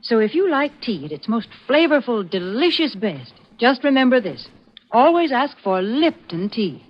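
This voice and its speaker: Animated high-voiced 1940s radio saleswoman. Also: moderate pace. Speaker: commercial announcer. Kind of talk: 1940s radio advertising pitch. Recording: dull vintage 1940s radio recording.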